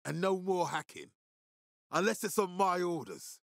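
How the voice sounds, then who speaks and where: An actor playing a stern, resolute, fatherly militia leader in a video game, speaking with measured, deliberate authority in a husky voice. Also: sits high, sing-song, a bit bright, no room sound, clean studio sound, full character voice. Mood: annoyed.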